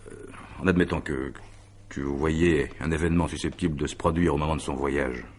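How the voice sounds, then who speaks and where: An actor playing a courteous, sceptical businessman in a French radio drama, speaking haltingly and with polite disbelief. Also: extremely low pitch, steady tone, darkish tone. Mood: incredulous, worried. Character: sceptical, impulsive businessman, married, courteous, flustered. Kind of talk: 1950s-style French radio drama acting.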